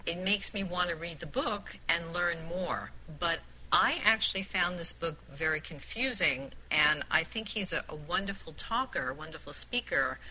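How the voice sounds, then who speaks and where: A woman giving an informal spoken book review in a group discussion, halting and repeating words as she makes emphatic points. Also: on the low side, expressive, sharp tone, poor audio. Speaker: book club member who picked the book.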